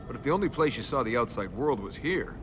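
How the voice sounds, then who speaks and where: A man's voice: medium pitch, lively intonation, fast talker, natural voice. An actor playing a flippant, sardonic young male adventurer in a video game, talking casually. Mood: wry, casual. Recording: clear background noise.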